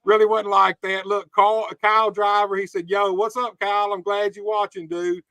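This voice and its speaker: A man's voice: sits high, flat delivery, normal speed, sharp tone, clear, resonant tone, no echo. A man hosting a wrestling podcast, reading viewer comments and bluntly challenging his guest. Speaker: podcast host. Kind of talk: casual interview.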